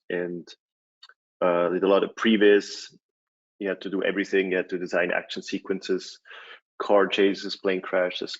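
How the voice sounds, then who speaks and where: A man from Switzerland in his forties giving a conference talk, relaxed, with many fillers and restarts. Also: low-pitched, muffled recording. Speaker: conference speaker, company CEO. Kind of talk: presentation talk.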